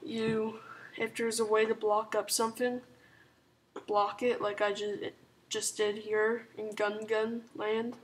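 A woman with a sore throat and a hoarse, sick voice, rambling softly through casual strategy game commentary with lots of ums and likes. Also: fairly high pitch, flat delivery, slow, poor audio. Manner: rambling, full of "like" and "um", soft-spoken. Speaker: gaming channel host.

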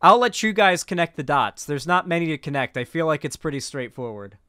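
A man in his twenties with an American accent, giving indignant, sarcastic reaction commentary as a live-streamer, halting and swearing, close on the mic. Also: a little high, expressive, bright, forceful tone, clear, resonant tone, no room sound. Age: 20s. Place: small home streaming room. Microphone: close boom mic.

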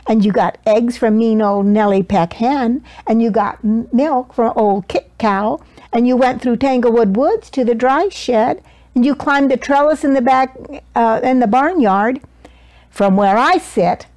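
A steady old country grandmother from a picture book, acted out by a woman reading aloud. She is folksy and gently coaxing, with a raised pitch and an unhurried, reassuring way of talking. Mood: proud, encouraging.

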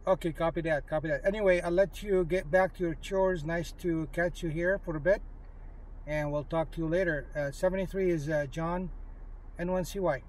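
A man with a slightly high voice talks as a ham radio operator in a casual, polite way. His delivery is halting and informal, recorded on a phone inside a parked vehicle. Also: steady tone, poor audio. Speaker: amateur radio operator filming a demonstration. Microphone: handheld smartphone.

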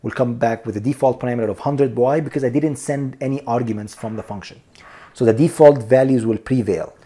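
A man's voice: mid-range voice, fairly quick, dark tone, husky. A man teaching a programming lesson over a screen recording, explaining each step and checking in with "okay?" and "here we go" as he addresses viewers as "you guys.". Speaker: programming instructor.

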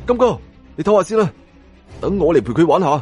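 A man's voice: a little high, sing-song, fast talker, darkish tone, soft background music, voice pushed up. A man voicing a brash, fearless officer in his twenties from an audio story, with a Hong Kong Cantonese accent and a bold manner. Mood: eager, challenging. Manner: bold, challenging.

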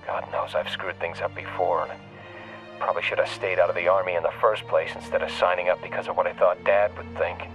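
A man's voice: low voice, steady tone, fairly bright tone. A young man playing an earnest, anxious American soldier leaving a hesitant message for his mother through an answering machine filter. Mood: regretful, resolved. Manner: hesitant, halting. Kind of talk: dramatic game voice acting, hesitant phone message. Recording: muffled answering-machine tape, faint music.

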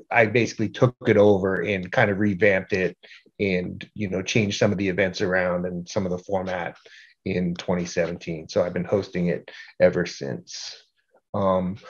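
Man, middle-aged, lowish pitch, informal presentation, halting repeated words, self-deprecating, dull video-call audio. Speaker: art group organizer giving a talk. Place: indoor room at home, on a video call.

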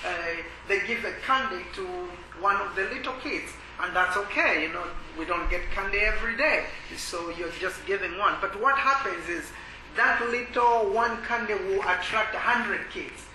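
A man from Malawi sharing his testimony at a church workshop, speaking haltingly with many pauses and filler sounds. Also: high voice, bright, forceful tone. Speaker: ministry worker. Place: conference workshop room. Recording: echoey room with background noise.

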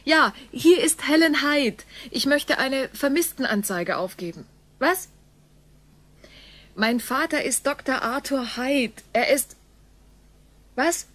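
An actress playing a flustered, impatient daughter on a halting phone call in a radio play. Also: female voice, high voice, expressive, fairly bright tone, no echo, full character voice. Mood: urgent. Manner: halting one-sided phone talk.

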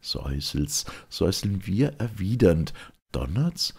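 A man with a gravelly, husky voice reciting classical dramatic verse in a solemn, theatrical, measured manner. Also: low voice, sing-song, a little mellow. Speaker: reader of classic literature.

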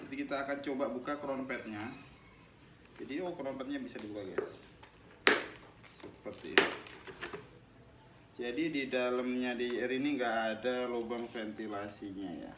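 A man's voice: mid-range voice, fairly even intonation, moderate pace, pauses often. Chatty young man in an echoey room. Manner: chatty, addresses viewers as guys. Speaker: motovlog host reviewing a helmet. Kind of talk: casual product review vlog. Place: small room with tiled wall, indoors. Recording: echoey room, noisy background, mic at a distance.

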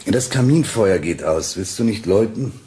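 An actor playing a domineering, condescending Victorian husband in a German radio play, with a needling, patronizing manner. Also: male voice, fairly low pitch, lively intonation, normal speed, dark tone, a little hiss or hum, close to an everyday voice. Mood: cold, commanding.

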